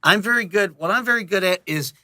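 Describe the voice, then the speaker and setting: A man talking casually as an interview guest, in an animated, rambling and jokey way, often interrupting himself. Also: high voice, quick pace, dry recording. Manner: casually profane. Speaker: interview guest, audio drama director and producer.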